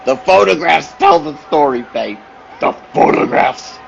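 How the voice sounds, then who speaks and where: A man plays an anguished little circus man in a radio play. His voice is highish and creaky with big swings of pitch, insistent and melodramatic. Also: animated, unhurried, highs cut off, slight background noise, full character voice. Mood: accusing.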